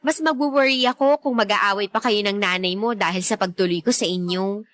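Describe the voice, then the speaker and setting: A woman playing a caring, assertive young girlfriend in a radio drama, with a breezy, expressive delivery. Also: medium pitch, brisk, no room sound, close to an everyday voice. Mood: breezy, reassuring.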